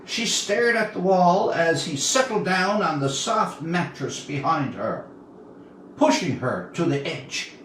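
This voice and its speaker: Older man reading a story, high-pitched and expressive. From Newfoundland, Canada. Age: 70s. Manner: measured, expressive, dramatic pauses. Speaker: author reading his own story. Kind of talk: storytelling, literary reading aloud. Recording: roomy, distant mic, faint background noise.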